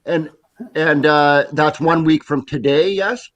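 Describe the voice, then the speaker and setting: A man's voice: on the high side, fairly bright tone, dry recording, dull audio. A man who is a guest on a live-stream video chat, talking casually, poking fun at himself and laughing easily. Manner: self-deprecating, quick to laugh.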